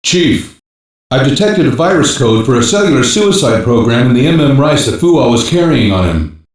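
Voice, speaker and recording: A strongly echoing, far-off male voice acted by a man plays a gruff intelligence hacker in a sci-fi video game. He reports technical findings in a clipped, matter-of-fact way, like a focused team member briefing a commander. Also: mid-range voice, very animated, normal speed, lightly acted. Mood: serious, focused. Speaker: voice actor in an English dub. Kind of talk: scripted video game voice lines, mission briefing. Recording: very echoey, distant-sounding game audio capture.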